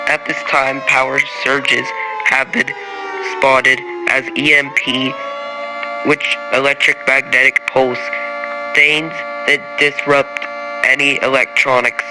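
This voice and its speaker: Guy playing emergency announcer, flat halting official read, really loud music in the back. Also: medium pitch, lightly acted, low-quality recording. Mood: serious, official. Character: official male emergency announcer in disaster scenario. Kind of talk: emergency announcement in an alert scenario.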